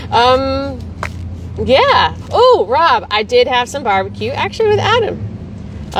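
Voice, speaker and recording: A woman's voice: fairly high pitch, far from the mic, slight background noise. A woman from Texas hosting a weekly livestream, chatting casually and rambling between viewer comments. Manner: chatty and rambling, laughs easily.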